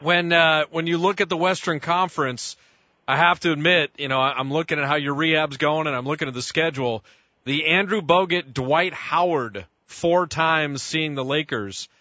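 A man hosting sports radio speaks in a bright, fairly high voice that sounds a bit muffled and dry. His questions ramble along with plenty of restarts and fillers. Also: moderate pace, dry recording. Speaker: radio sports show host. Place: radio studio.

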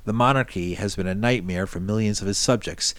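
A man hosting a news show, reading a scripted introduction in a steady, formal way. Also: fairly low pitch, brisk. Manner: steady, formal read delivery.

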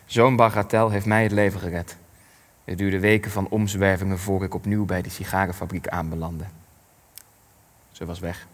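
Guy acting old war survivor, low voice, grave and guilt-ridden, radio play, big echoey reverb. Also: flat delivery, close to an everyday voice. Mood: grave recollection. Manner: sombre, measured, short weighty phrases. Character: elderly male war survivor, grief-stricken, ashamed, plain-spoken. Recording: long hall-like reverb.

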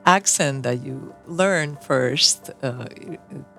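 An interviewer asking warm, appreciative questions in easy conversation. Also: medium pitch, slow, gravelly, soft background music.

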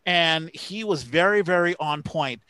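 A man co-hosting a casual talk show, reading out shout-outs and announcements in a halting way as he searches for details. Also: on the high side, smooth, no room sound. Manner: halting, pausing to find names and details. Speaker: podcast co-host.

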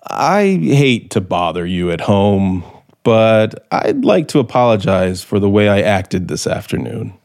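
An American actor in a 1950s radio drama playing a bitter, sardonic male scriptwriter plotting murder, with a theatrical, deliberate delivery. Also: lowish voice, big pitch swings, a little mellow. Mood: pleading, restrained. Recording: vintage 1950s radio recording with music.

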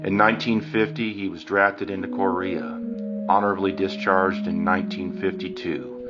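A man calmly telling the story of his grandfather's life in plain narration. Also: low-pitched, moderate pace. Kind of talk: personal family storytelling. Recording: slightly dull audio with music behind.

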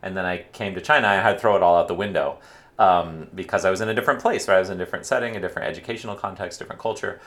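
Deep-voiced hesitant male lecturer. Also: rapid-fire, a bit bright. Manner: hesitant, many fillers and restarts. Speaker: university lecturer. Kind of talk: casual academic podcast chat.

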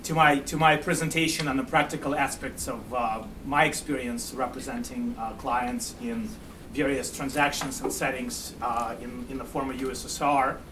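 A man in his forties with a Russian-Ukrainian accent, giving a university lecture in a halting way, full of fillers and repeats. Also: mid-range voice, fairly even intonation. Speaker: guest lecturer. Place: lecture room. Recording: distant mic, room noise.

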